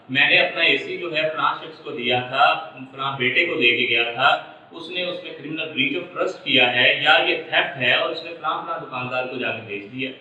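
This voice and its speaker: Sharp-voiced Pakistani man lecturing in echoey room. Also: fairly high pitch. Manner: repetitive, step-by-step explaining. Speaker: law lecturer. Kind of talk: explanatory lecture with worked examples. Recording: echoey room, distant mic, muffled, faint noise.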